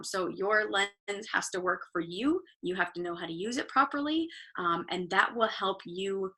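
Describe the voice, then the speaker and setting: A woman in her thirties giving a live online photography talk, chatty and encouraging with many ums, her voice slightly hoarse, heard over a video call. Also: mid-range voice, bright, forceful tone. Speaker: photography workshop instructor.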